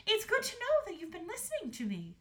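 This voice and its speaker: A woman's voice: extremely high pitch, huge pitch swings, brisk, sharp tone, pitched above the performer's own voice, exaggerated voice. A woman voicing a worried, pleading queen and mother in a storybook reading, fretful and exclamatory. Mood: relieved approval.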